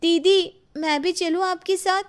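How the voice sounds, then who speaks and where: A very high-pitched young daughter-in-law from a cartoon family tale. She sounds innocent and earnest, deferring to her elders with a plaintive edge. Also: steady tone, measured pace. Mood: questioning.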